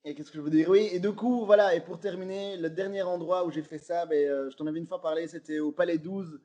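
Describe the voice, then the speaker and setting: A man's voice: on the high side, fairly even intonation, fast talker, dark tone, highs cut off. A man with a Belgian French accent telling funny stories in a casual podcast chat, laughing often and filling with lots of fillers. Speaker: guest comedian.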